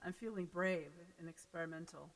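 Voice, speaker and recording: An American woman from Seattle, a folk singer chatting casually between songs on her live stream, quick and self-deprecating. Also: fairly low pitch, in a large hall, poor audio. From Seattle, United States.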